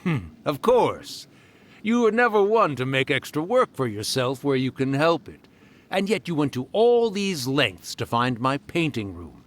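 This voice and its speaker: An actor playing a genial, centuries-old male spirit in a video game, wry, affectionate and theatrical like an old uncle. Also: a little high. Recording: clearly audible background noise.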